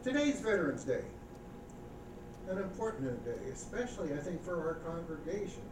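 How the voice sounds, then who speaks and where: Brief: male pastor, somewhat high voice, mid-sermon, picked up from a distance in a reverberant church with audible noise. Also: big pitch swings, normal speed. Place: church sanctuary. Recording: echoey church recording from far off with background noise.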